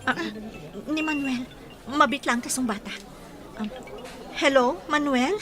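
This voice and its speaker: A woman's voice: high voice, a little slow, crowd noise. A woman acting an earnest young girlfriend in an audio drama, with an Ilocano accent. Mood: worried.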